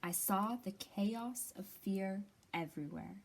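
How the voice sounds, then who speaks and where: A woman reads a children's picture book aloud as a storytime host. Her delivery is expressive and deliberate, with acted-out phrases and dramatic pauses. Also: medium pitch, vocal fry, a little hiss or hum.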